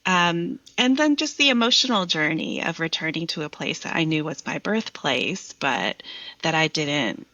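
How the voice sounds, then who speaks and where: A woman's voice: deep voice, animated, normal speed, sharp tone, no room sound, highs cut off. A woman from Virginia speaking as a podcast guest in thoughtful, casual conversation. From Virginia, United States. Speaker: podcast guest, poet and scholar.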